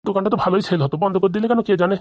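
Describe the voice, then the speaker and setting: Blunt, chatty comedy-cartoon village uncle, acted by a woman: rapid, slightly low, dry recording. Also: fairly even intonation, a little mellow, no room sound, natural voice. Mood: gossipy. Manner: chatty, needling, colloquial.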